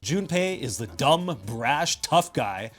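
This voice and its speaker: A man's voice: fairly high pitch, expressive, slow pace, a bit bright, faint music behind. A man delivering scripted video game commentary in a sardonic, wry way with exaggerated emphasis.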